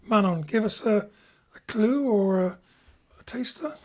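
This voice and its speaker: Woman director hosting a casual post show chat, medium pitch. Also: a little slow, darkish tone. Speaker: director and host.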